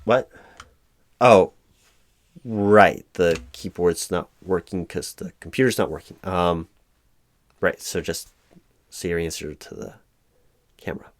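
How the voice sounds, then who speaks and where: A man playing a flustered, hapless corporate recruiting manager in a one-sided spoken roleplay, hesitant and stammering, with an awkwardly polite, monotonous delivery. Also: low-pitched, takes it slowly, long pauses. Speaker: job interviewer.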